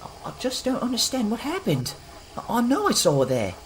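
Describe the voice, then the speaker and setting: A woman's voice: a little high, huge pitch swings, a little fast, a little hiss or hum. Woman as hesitant young boy engine, wildly swooping voice. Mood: bewildered, anxious. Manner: hesitant, stammering. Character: Ryan, young male tank engine, earnest, troubled. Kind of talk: audio drama acting.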